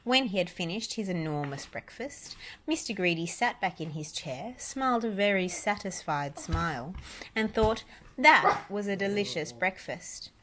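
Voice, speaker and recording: A woman reading a children's story aloud, deliberate and emphatic on repeated descriptive words. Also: lowish voice, animated, fairly bright tone, a little hiss or hum. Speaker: storybook reader, parent.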